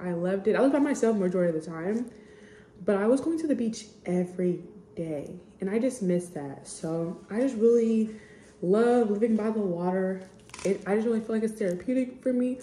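A woman's voice: medium pitch, mellow, slight background noise. A young woman in her twenties sniffling a little while she chats in a candid, rambling way as a podcast host. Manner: rambling, candid, full of "like" and "I just". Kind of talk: casual personal chat. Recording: echoey room.

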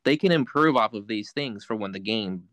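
A man's voice: on the low side, animated, normal speed, fairly bright tone, dry recording. A man co-hosting a podcast, chatting casually about video games and rambling with lots of fillers. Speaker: podcast co-host. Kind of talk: casual chat.

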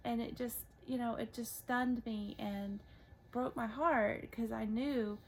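Woman, 30s, soft and halting, gravelly fry, faint hiss behind. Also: fairly high pitch, fairly even intonation, poor audio. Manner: gentle, halting, many restarts. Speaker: podcast co-host, mother. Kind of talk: casual faith conversation.

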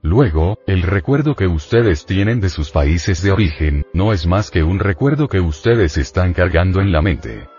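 A man with a very low voice lectures a group of students in an insistent, admonishing way, leaning on rhetorical questions. The recording is muffled and lo-fi, with faint music behind him. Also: expressive, normal speed, hardly any pauses, darkish tone. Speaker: spiritual teacher lecturing students.

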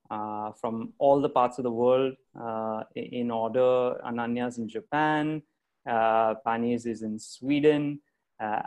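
A man hosting a live online group discussion over a video call, talking haltingly with many fillers and restarts. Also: mid-range voice, a little mellow. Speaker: host.

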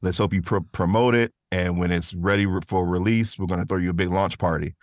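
A man's voice: low voice, steady tone, fairly quick, no room sound, clean studio sound. A man speaking as an interview guest, telling stories in a casual, modest, easygoing way. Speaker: interview guest, voice actor and creator. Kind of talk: casual conversational storytelling.

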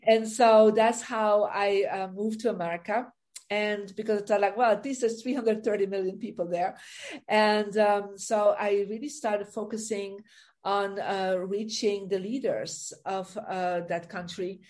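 A woman's voice: mid-range voice, steady tone, unhurried, darkish tone. A middle-aged woman from Belgium with a Belgian accent, telling her story as a guest in a casual interview, with light laughs. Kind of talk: interview on a video call.

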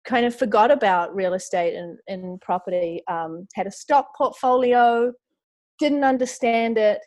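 A woman's voice: mid-range voice, measured pace, darkish tone. A woman in her forties from New Zealand with a New Zealand accent, a podcast guest chatting casually and often quoting her own thoughts. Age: 40s. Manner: chatty, lots of fillers and quoted self-talk. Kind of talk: casual interview chat.

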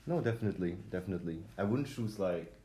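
Male podcast host, low warm tone, rambling delivery full of fillers. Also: lively intonation, fast, reverberant, a little hiss or hum. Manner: rambling, many fillers and restarts. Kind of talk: casual conversational podcast.

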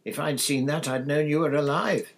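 Storybook mum, played by a man: high-pitched, husky, anxious and fussing, easily moved to tears. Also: steady tone, normal speed. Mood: shocked. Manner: distracted, fretful, fussing. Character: anxious, tearful, fussing middle-aged mother.

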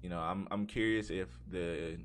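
A man's voice: sits low, fairly even intonation, normal speed, slight background noise. A man hosting a casual gaming podcast, talking in a rambling way as he thinks aloud. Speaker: podcast host.

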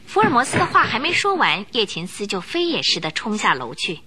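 A woman's voice: medium pitch, huge pitch swings, brisk, background noise. A woman narrating a radio drama in a vivid, dramatic storytelling manner.

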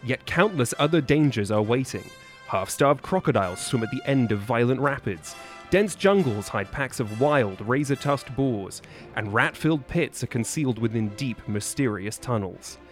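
A man's voice: medium pitch, expressive, normal speed, soft background music. A man reading scripted adventure copy aloud in a dramatic storytelling style. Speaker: podcast guest reading aloud.

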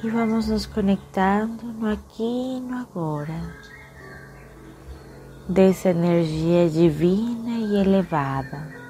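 A woman leading a guided meditation in a soothing, unhurried manner, with long pauses between phrases. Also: medium pitch, mellow. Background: music.

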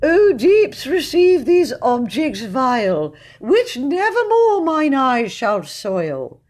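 A woman voicing a timid, pompous storybook gentleman with a high, quavering voice and a hesitant, pleading manner. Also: big pitch swings, slow pace, exaggerated voice. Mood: pompous declaiming. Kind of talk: storybook character voice.

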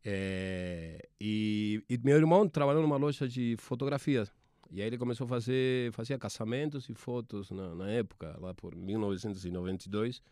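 Argentine man, low voice, halting. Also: dark tone, dry recording, studio quality. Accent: Argentine Spanish. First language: Spanish. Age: 40s. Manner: halting, many restarts and fillers. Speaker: TV sports producer. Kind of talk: interview, telling his career story.

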